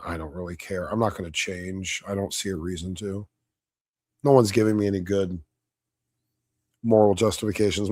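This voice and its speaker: A fairly low-voiced man with a slight hoarseness, talking in a blunt, combative, cussing way. His delivery is casual and off the cuff, like a streamer commenting live. The sound is close and dry, with no room around it. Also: darkish tone, no echo. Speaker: debate reviewer streaming live.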